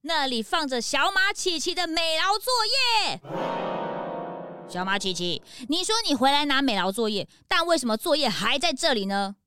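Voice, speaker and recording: Cheerful little animal detective, high-pitched, very animated, self-assured, children's radio play, music underneath. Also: female voice, moderate pace, exaggerated voice. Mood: triumphant, confident. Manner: playful, confident, declamatory when deducing. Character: cheerful, proud young sidekick detective animal. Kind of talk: children's detective audio drama. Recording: music behind.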